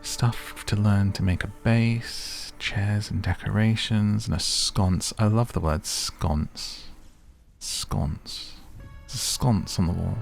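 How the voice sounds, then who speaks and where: A man giving relaxed ASMR gameplay commentary, musing gently and whimsically to the listener. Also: lowish voice, slow, gravelly, faint music behind. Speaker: gaming video host.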